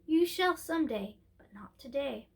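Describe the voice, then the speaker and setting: A woman voicing a very old, gentle and mysterious great-great-grandmother queen in a storybook, speaking patiently. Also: sits high, expressive, unhurried. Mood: patient, kindly.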